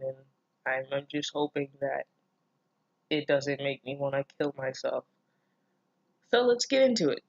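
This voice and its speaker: A woman with a slightly low voice reads a story aloud in a casual, reactive way. She sounds incredulous and self-deprecating, dropping in swearing as commentary. The recording is close and dry, with little room sound. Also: no echo, dull audio. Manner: giggly, breaking off to comment. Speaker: host reading fan fiction aloud.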